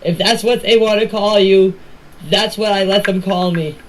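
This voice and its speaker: A woman's voice: mid-range voice, fairly even intonation, normal speed. An older woman speaking earnestly and emphatically in informal voice messages, often repeating herself for emphasis. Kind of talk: informal voice messages to a group chat. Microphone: phone voice recording. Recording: noisy voice message clips.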